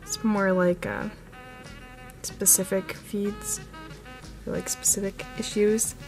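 A chatty woman at a middling pitch talks through a casual tour, filling her speech with "like" and "um". She films close on a handheld camera in a carpeted room indoors. Soft music runs faintly underneath. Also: little pitch movement, slow pace. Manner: chatty, filler-heavy, frequent "like" and "um". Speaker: model horse channel host. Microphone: handheld camera filming close.

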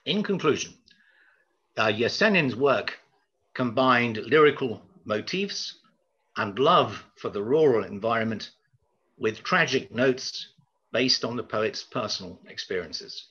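An older man giving a prepared lecture in a measured, formal manner, pausing and hesitating as he goes. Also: mid-range voice, lively intonation, a bit bright, husky. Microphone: video call. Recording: slightly dull video call audio.

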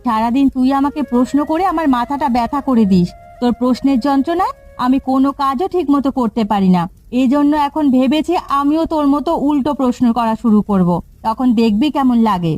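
একজন নারী কার্টুনে এক ব্যস্ত, খিটখিটে গ্রামের মায়ের চরিত্রে ধমকের সুরে কথা বলছেন।. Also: female voice, high voice, a little fast, a little mellow, close to an everyday voice. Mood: irritated, scolding. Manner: snappish, scolding. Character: irritable, busy village mother. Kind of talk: Bengali cartoon acting. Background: background music.

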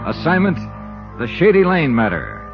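A man's voice: a little high, sing-song, moderate pace. An American man acting as a dogged, skeptical insurance investigator in a 1950s radio drama, with a clipped, hard-boiled delivery. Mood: brisk, wry. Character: dogged, skeptical male insurance investigator narrating his case. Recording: muffled 1950s radio recording with music.

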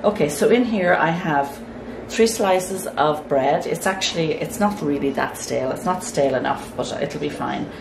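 A woman hosting a home cooking demonstration, chatty and self-mocking as she talks through each step, her voice croaky from lack of sleep. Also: low-pitched, normal speed, few pauses. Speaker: home cooking channel host. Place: home kitchen. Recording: echoey noisy kitchen.

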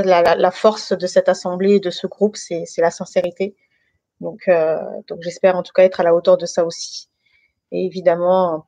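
A woman in her thirties from France, speaking informally and haltingly as she shares a personal testimony with a group. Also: on the low side, a little mellow, no echo, lo-fi sound. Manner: hesitant, halting delivery with many pauses and repetitions. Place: living room at home.

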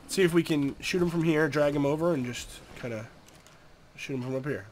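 A man's voice: a little high, brisk, a little mellow, a little hiss or hum. Congested, nasal young man narrating a game. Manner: chatty, easygoing, talks to the creatures. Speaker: gameplay commentator. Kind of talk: casual gameplay commentary.

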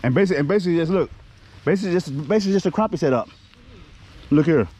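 A middle-aged man with a Southern US accent, talking casually and excitedly to his fishing vlog viewers, often repeating himself. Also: a little high, rapid-fire. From Arkansas and Louisiana, United States. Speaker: fishing channel host. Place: outdoors by a neighborhood pond. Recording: noisy outdoor recording.

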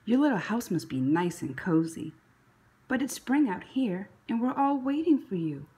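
A woman reading a children's story aloud expressively, with a breathy, husky voice. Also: mid-range voice, big pitch swings, normal speed, mellow. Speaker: picture book read-aloud narrator.

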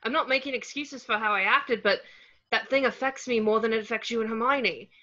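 Woman reading defensive young man, high and bright. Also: brisk. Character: defensive, awkward young man, a reluctant friend. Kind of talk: amateur screenplay table read. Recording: dull video-call audio.

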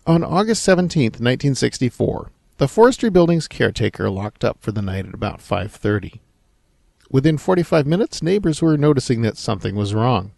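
A man from Oregon with an American accent and a gravelly voice, hosting a podcast and reading scripted history narration. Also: medium pitch, big pitch swings, fairly quick, a little mellow, no room sound, clean studio sound. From Oregon, United States.

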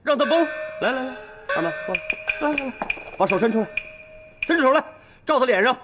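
An actor playing a blustering, wheedling, scheming military officer in a broad comic TV drama. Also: male voice, very high voice, expressive, fast. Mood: gleefully bossy. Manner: blustering, cajoling. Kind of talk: broad television comedy-drama acting. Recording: music behind the voice.

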